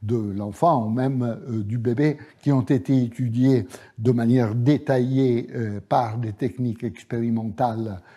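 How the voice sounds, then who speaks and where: A male professor whose first language is Italian, giving a university lecture at a measured pace with frequent hesitations and fillers. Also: mid-range voice, fairly even intonation, mellow, breathy edge, dull audio. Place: lecture hall.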